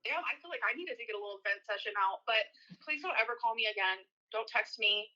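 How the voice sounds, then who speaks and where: Woman, very bright forceful voice, quick and blunt, casual argumentative phone call, lo-fi sound. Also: fairly high pitch, animated. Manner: blunt, swearing. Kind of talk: confrontational casual phone conversation. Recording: dull lo-fi phone call recording.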